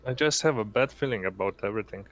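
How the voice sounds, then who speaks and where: A man's voice: on the low side, quick pace, fairly bright tone. A man playing a tabletop role-playing game, speaking casually and hesitantly as he searches for words. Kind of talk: casual improvised role-play.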